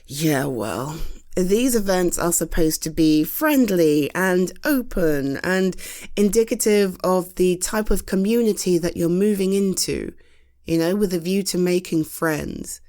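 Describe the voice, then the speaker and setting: A British woman in a roleplay, talking frankly and reassuringly to a friend, with halting restarts and a close studio mic. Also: fairly low pitch, animated, warm tone. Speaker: audio roleplay performer.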